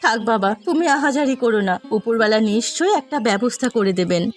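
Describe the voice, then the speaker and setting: A woman playing a gentle, anxious young daughter of a poor village family, plaintive and pleading, in a melodramatic cartoon. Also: a little high, expressive, quick pace, faint music behind, close to an everyday voice. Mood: sad, anxious.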